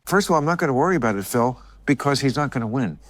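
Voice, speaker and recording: A man's voice: medium pitch, animated, racing, no room sound. A man giving emphatic, opinionated political commentary.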